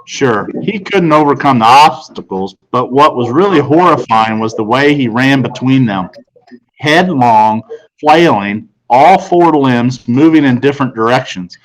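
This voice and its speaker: A man's voice: mid-range voice, expressive, a little slow, rough recording. A man reading scripted children's fiction aloud as an audiobook narrator.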